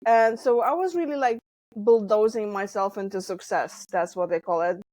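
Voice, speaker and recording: A woman's voice: mid-range voice, normal speed, no echo. Lithuanian-accented woman, dry close sound. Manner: animated storytelling with laughs and acted quotes. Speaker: guest, stress management coach. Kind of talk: podcast interview.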